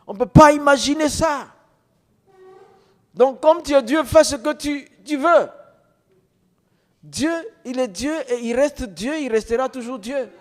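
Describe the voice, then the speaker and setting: High-voiced man preaching in a reverberant hall. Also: a little slow, long pauses. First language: non-French mother tongue. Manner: emphatic, repetitive, rhetorical questions. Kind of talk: testimony preaching to an audience.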